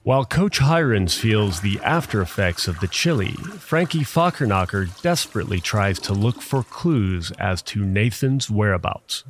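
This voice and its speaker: A man's voice: lowish voice, expressive, moderate pace, hardly any pauses, a little hiss or hum. A man narrating a scripted audio drama in a mock-serious, dramatic manner.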